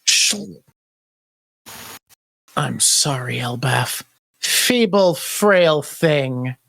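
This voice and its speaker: A woman's voice: rumbling low, animated, drawn-out words, gravelly, fairly bright tone, no echo, pitched below the performer's own voice, exaggerated voice. A woman voicing a cold, merciless villain in a comic read-aloud. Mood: cold, menacing.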